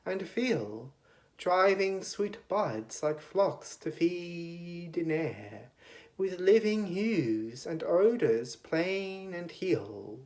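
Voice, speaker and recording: A man giving a dramatic recitation of poetry in a declamatory manner, with long pauses between phrases. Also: high-pitched, very animated, darkish tone. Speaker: poetry reader.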